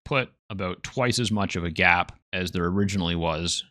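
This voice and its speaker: A man hosting a casual software tutorial, thinking aloud as he demonstrates. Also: deep voice, moderate pace, no echo. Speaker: tutorial host.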